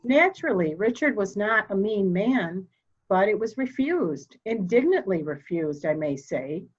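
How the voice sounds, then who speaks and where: A woman playing a proud, formal, candid elderly mother in a murder mystery reading, speaking deliberately. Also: medium pitch, sing-song, breathy edge, highs cut off. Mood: bitter, frank. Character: proud, cold old mother, candid and slightly deaf.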